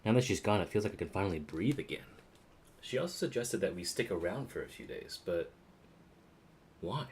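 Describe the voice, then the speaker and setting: Very low-voiced, animated game streamer. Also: brisk, pauses often, a little hiss or hum. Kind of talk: casual playthrough commentary and reading dialogue aloud. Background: game music.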